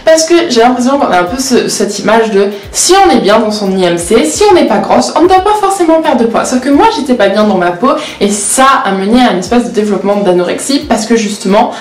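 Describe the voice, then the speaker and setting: A woman's voice: on the high side, animated, brisk, hardly any pauses. A 19-year-old woman with Portuguese as her first language, chatting candidly in a casual vlog, self-mocking and often hesitating. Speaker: vlogger and law student. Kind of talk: casual confessional vlog chat. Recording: roomy echo.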